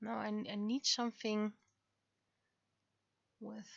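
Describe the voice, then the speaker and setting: Slow, halting woman streamer, bright voice. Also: medium pitch, little pitch movement, vocal fry, no room sound, highs cut off. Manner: halting, thinking aloud, talking to herself. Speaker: livestreaming webcomic artist. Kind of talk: casual livestream chat while working.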